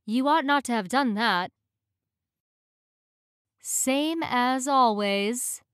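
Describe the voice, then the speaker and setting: A woman reading short English practice phrases in a clear, measured way, each one said twice. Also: on the high side, no room sound, clean studio sound.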